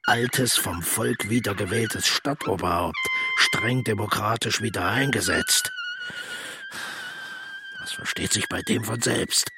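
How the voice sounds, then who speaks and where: An actor playing a bitter, hard, unrepentant Nazi war criminal in a radio play, with clipped, contemptuous, gravelly, often half-whispered inner monologue. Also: male voice, fairly low pitch, huge pitch swings, slow, heavy background noise, heavily acted. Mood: scornful.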